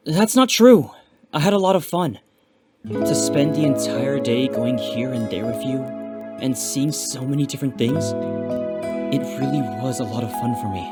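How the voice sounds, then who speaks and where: A man's voice: medium pitch, animated, measured pace, lightly acted. Guy playing upbeat earnest young fighter dude in a fan audio drama, musing to himself, super echoey w music behind. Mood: reassuring. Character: earnest, upbeat young man training in martial arts. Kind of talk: amateur audio drama acting. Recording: very echoey reverb, music underneath.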